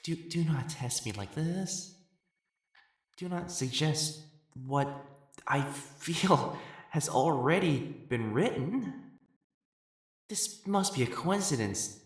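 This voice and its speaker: A man playing an elegant, proper matchmaker in a dramatic audio roleplay, speaking formally and haltingly as he hides his jealousy. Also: a little high, expressive, breathy edge, heavily acted. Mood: conflicted, denying. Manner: formal, halting, stammering when flustered.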